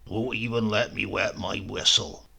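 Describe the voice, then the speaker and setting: A man voicing a sly, feisty, dying old countryman in a story, with a rural English accent and a weary, breathy croak. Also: on the low side, steady tone, a little slow, sharp tone, exaggerated voice. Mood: weary, gloomy. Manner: rustic, grumbling, conspiratorial.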